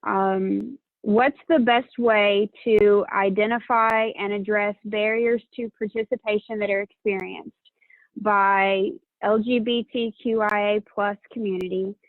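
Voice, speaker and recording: Woman, moderator, measured formal manner, tinny phone-like sound, dry. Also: mid-range voice, fairly even intonation, dry recording. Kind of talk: moderating a panel.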